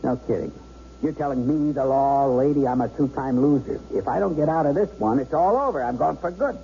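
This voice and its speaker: A man's voice: fairly high pitch, fairly quick, vocal fry, dark tone. A man playing a hardened, volatile armed robber in a radio drama, barking orders and sneering in streetwise slang. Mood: bitter. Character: armed bank robber, hardened, volatile two-time loser. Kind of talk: 1970s radio drama acting. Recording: dull, noisy old radio broadcast recording.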